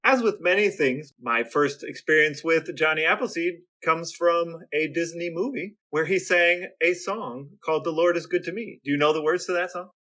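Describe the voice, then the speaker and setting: A man's voice: a little high, normal speed, few pauses, sharp tone. A man co-hosting a casual podcast with his brother, talking in a laid-back way and chuckling often. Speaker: podcast co-host. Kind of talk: casual chat.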